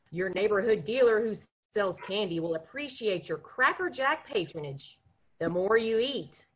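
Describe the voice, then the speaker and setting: A middle-aged woman works as the announcer, reading in old-time radio commercial style. Her voice is husky, at an average pitch for a woman. The delivery is scripted and presentational. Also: fairly bright tone. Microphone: video call.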